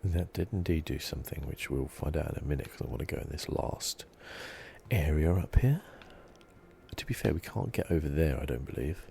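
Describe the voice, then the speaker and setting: A man giving soft, relaxed, musing ASMR commentary as host of a video game playthrough. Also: very deep voice, fairly quick, creaky. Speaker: gaming channel host.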